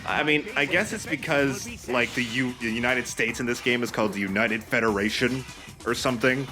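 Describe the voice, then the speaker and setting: A man's voice: medium pitch, moderate pace, music in the background. A man giving casual, joking commentary as he and his friends go through a video game. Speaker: let's play commentator.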